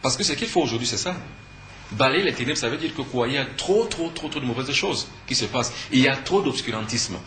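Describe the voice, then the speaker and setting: Male spiritual teacher, Cameroonian French accent, forceful bright tone, didactic interview delivery, echoey room. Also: medium pitch, expressive, brisk. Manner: didactic, emphatic, asks and answers own questions. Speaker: spiritual teacher and conference speaker, interview guest. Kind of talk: earnest explanatory talk in a TV interview. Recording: echoey room with faint background noise.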